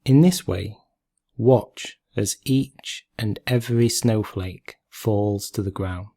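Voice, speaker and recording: A man leading a guided meditation in a calm, gentle, measured way, leaving long pauses between phrases. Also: on the low side.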